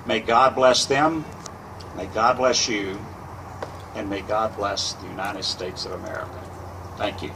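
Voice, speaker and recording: A man speaking as a mayor at a public ceremony, with solemn, deliberate phrasing. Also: on the low side, fairly even intonation. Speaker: mayor giving ceremony remarks. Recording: distant mic, outdoor ambient noise.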